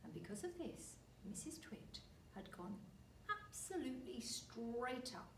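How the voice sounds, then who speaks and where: A woman reading a children's story aloud like a teacher, expressive and deliberate. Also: a little high. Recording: roomy, distant mic, faint noise.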